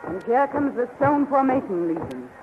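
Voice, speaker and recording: A woman's voice: on the high side, sing-song, moderate pace. A regal queen of magic from a 1930s radio serial. She speaks formally and adoringly, with broad, swooping intonation. The old recording is dull, with faint hiss. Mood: proud. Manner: stately, formal delivery.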